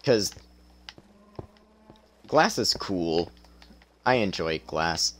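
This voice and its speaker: A man's voice: low-pitched, animated, extremely slow, a bit bright, slight background noise. A young man streaming a game, talking casually through his play with a tired, strained voice and a chatty, self-deprecating manner. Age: young adult. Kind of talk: casual gameplay commentary.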